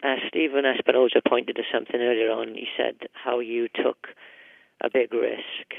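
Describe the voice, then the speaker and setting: A man's voice: medium pitch, flat delivery, moderate pace, vocal fry, no room sound. A man putting questions as a reporter on a press phone call. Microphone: telephone. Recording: narrowband telephone line.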